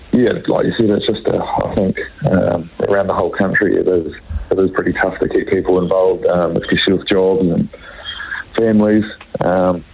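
Casting: New Zealand man, thirties, croaky and low, hesitant rugby player, muffled phone line. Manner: halting, many fillers. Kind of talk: radio phone interview.

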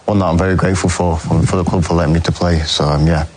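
A young man, answering interview questions in a halting way, full of ums and restarts. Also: low voice, level tone, darkish tone, soft background music. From England. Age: young adult. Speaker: footballer guest.

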